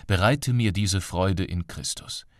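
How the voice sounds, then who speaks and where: Male narrator, lowish voice, for scripture audiobook. Measured, clearly phrased reading, close dry sound. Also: dry recording.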